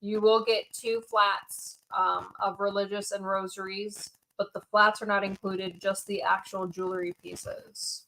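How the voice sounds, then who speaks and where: Slow-spoken woman listing auction items. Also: mid-range voice, little pitch movement, pushed and bright, rough recording. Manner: slow, itemizing, hesitant with ums. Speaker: auction co-host. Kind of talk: describing items for sale.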